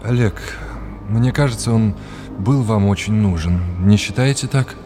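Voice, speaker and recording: An actor playing a kindly, courteous academic mentor in an audio drama, deliberate and encouraging. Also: male voice, lowish voice, music in the background, natural voice. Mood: reassuring.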